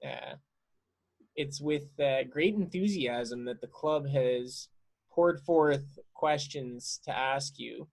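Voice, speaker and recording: A man hosting a casual interview, putting his questions in a halting, deliberate way. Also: mid-range voice, gravelly.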